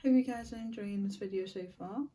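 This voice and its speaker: A woman hosting a colouring channel who is losing her voice and sounds a little croaky, chatting softly to viewers as she colours. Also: medium pitch, fairly quick, recorded from a distance, a little hiss or hum. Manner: chatty, soft-spoken, thankful to viewers. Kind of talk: casual chat while colouring.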